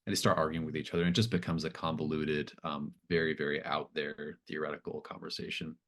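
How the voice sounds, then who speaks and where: A man's voice: extremely low pitch, flat delivery, fast talker, fairly bright tone. A man acting as game master, improvising narration for a tabletop game in a hesitant, thoughtful, informal way.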